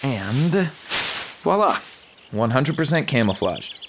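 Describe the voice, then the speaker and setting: An actor playing an evasive, smooth-talking man with secrets in a thriller audio drama, wry and placating. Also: medium pitch, big pitch swings, slow pace, slight background noise. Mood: wry, assured.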